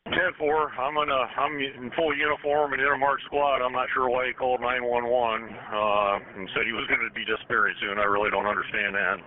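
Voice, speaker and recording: A man's voice: medium pitch, level tone, fairly quick, hardly any pauses, slight background noise. A man working as a police patrol officer, talking in clipped procedural phrases over a two-way radio. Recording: narrow, phone-like police radio transmission.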